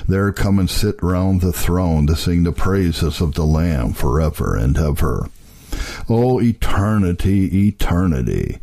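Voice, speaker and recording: Deep, husky male narrator with mellow delivery. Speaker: narrator reading historical religious texts. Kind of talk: scripted audiobook narration.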